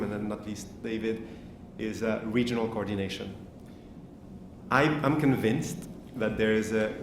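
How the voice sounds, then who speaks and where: Man on a panel explaining things slow and careful, distant mic, echoey hall, noisy. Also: lowish voice. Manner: measured, explanatory, thinking aloud. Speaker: panel speaker. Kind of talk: academic panel answer. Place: lecture hall.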